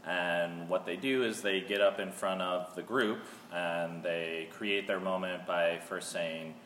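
A man's voice: low voice, steady tone, a little slow, fairly bright tone. An American man casually explaining a creative process as a guest in an interview. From Seattle, United States. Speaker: theater artist, interview guest. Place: artist's studio. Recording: echoey reverberant room, faint noise.

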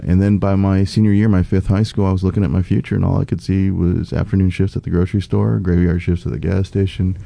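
A man's voice: deep voice, vocal fry, mellow. A middle-aged man from the United States, a political candidate speaking earnestly in a conversational interview, with many fillers and restarts.

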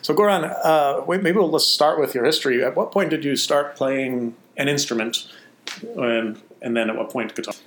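A man hosting a podcast, reading a measured, descriptive introduction and then interviewing a guest at a busy festival. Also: medium pitch. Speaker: podcast host. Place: busy festival venue, stone hall. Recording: roomy, with music behind.

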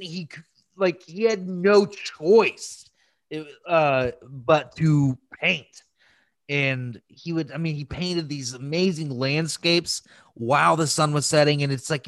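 A man's voice: a little high, fairly bright tone, no echo. A man hosting a podcast, chatting casually about films in a crass, emphatic and gushing way. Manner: crass, freely swearing. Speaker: podcast host. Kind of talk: casual film review chat.

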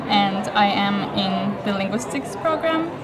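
A young woman who grew up in Japan, a graduate student, speaking softly and hesitantly in a casual interview. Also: mid-range voice, lively intonation, unhurried, loud music behind the voice, poor audio. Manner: soft, hesitant, light laughs. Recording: loud crowd noise behind. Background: crowd chatter.

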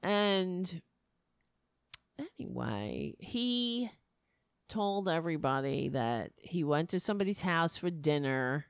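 A woman rambles through a comic story, swearing freely and laughing at her own jokes. Her voice is fairly low but swings widely in pitch. She talks slowly, and the recording is close and dry. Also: lively intonation, gravelly, clean tone, no room sound. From Staten Island, New York. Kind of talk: casual comic monologue.

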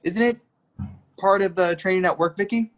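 Actor as a brash, needling bar buddy. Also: male voice, high-pitched, moderate pace, fairly bright tone. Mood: chatty, probing. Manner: chummy, teasing, pushy. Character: brash, needling, over-familiar bar-going man. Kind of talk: play reading.

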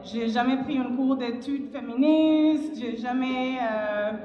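A woman answers spontaneously on a panel, pausing to find her words. Her voice sits a little high. The sound is echoey and somewhat dull, as if in a large hall. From Montreal resident of Afro-Cuban origin. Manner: halting, reflective, searching for words. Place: public panel in a hall, through a passed microphone.

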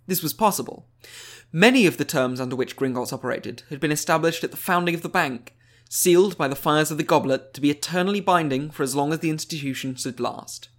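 A man's voice: fairly high pitch, fairly quick, a bit bright. A man reading scripted fiction aloud as an audiobook narrator.

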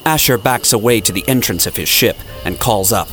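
A man's voice: on the low side, a little fast, fairly bright tone. A man reading scripted, dramatic story narration for an audio drama. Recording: music playing behind the voice.

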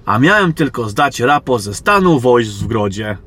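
Man voicing a wry, forceful young soldier. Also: medium pitch, very animated, moderate pace. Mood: wry, grumbling. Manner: wry, grumbling. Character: wry young male soldier, reluctant and questioning. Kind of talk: Polish fantasy audio drama.

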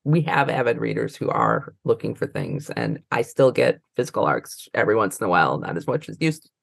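A woman's voice: very deep voice, big pitch swings, a little fast, creaky, dry recording. An American woman talking casually as an interview guest, rambling and often trailing off mid-thought. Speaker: interview guest, librarian and trainer.

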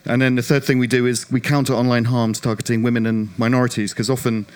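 A man from the UK speaks on a conference panel, his voice slightly croaky yet smooth and clear beneath it. He tells his story with self-deprecating humor and animated storytelling. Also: mid-range voice, fast. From United Kingdom. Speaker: panelist, non-profit director. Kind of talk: panel discussion.

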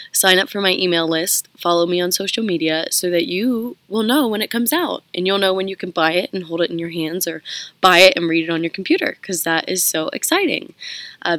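Casual female podcast host, lower voice, earnest and chatty, dry close-mic sound. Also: pushed and bright, no echo. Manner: chatty, earnest, laughs between phrases. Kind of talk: casual podcast talk and announcements.

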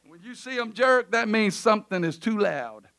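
A man preaching a sermon in a church service, loud at times and then dropping to quiet asides. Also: high-pitched, lively intonation, moderate pace, gravelly, smooth. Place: church sanctuary.

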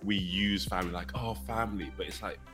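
A man's voice: low-pitched, fairly even intonation, a little fast, music in the background. A man in a casual panel discussion, explaining his point informally with conversational asides.

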